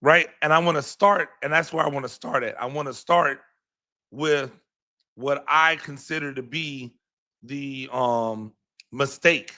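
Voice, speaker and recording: A hoarse man gives his postgame football reaction, his voice bright and forceful despite the strain. He rambles, circles back and repeats his words with frequent ums, talking like a coach breaking down a game. His pitch sits in the middle for a man. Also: unhurried. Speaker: podcast host and high school football coach.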